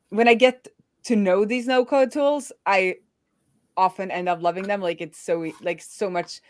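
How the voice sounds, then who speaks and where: A woman's voice: mid-range voice, no echo, studio quality. A Norwegian woman with an American-sounding English accent, chatting casually while she codes on a live stream and thinking aloud with many fillers. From Norway. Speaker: developer co-hosting a live build stream. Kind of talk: casual live coding chat.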